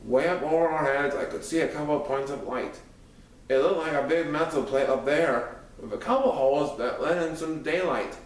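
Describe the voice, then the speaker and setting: A man narrates a story in the first person, reading from a script. His voice sits a little high and comes from some distance off the microphone, in a very echoey room. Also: moderate pace. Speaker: audiobook narrator.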